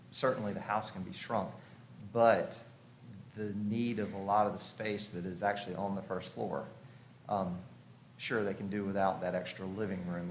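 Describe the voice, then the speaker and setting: A man giving an informal explanatory presentation at a public hearing, conversational and thinking aloud as he goes. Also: low voice. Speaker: house designer presenting to a review commission. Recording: echoey room, clearly audible background noise.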